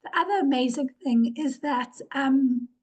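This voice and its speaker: A woman's voice: high-pitched, a little slow, darkish tone, lo-fi sound. A woman who is ill and losing her voice, giving an earnest webinar presentation over a video call, repeating words for emphasis. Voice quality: hoarse. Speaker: webinar presenter, organization director. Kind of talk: presentation talk.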